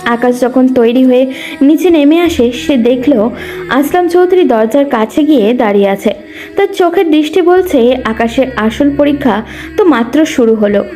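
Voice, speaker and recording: A woman reads scripted story narration in a very high yet soft, mellow voice. Faint music plays beneath her. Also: animated, normal speed.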